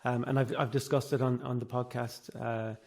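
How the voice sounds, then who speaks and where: A man's voice: medium pitch, level tone, moderate pace. A man hosting a podcast, chatting casually and agreeably with a guest, often repeating words as he starts again. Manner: agreeable, stumbling restarts. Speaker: podcast host. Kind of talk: casual interview chat.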